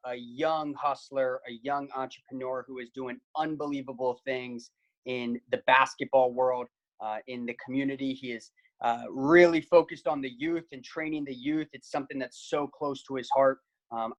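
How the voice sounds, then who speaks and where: Male co-host on a video call, upbeat and hype, mid-pitched, talks loosely with fillers. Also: rough recording. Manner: energetic, hype, frequent fillers. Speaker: podcast co-host. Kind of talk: upbeat interview hosting.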